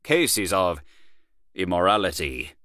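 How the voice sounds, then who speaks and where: A man voicing a boastful, worldly Irish male journalist who talks loud and swaggering. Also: low voice, sing-song, slow pace, no echo, clean studio sound, full character voice.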